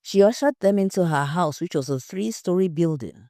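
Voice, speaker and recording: Mellow, even-toned woman storyteller. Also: on the low side, normal speed, no echo, studio quality. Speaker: storyteller, narrator. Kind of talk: scripted audio story narration.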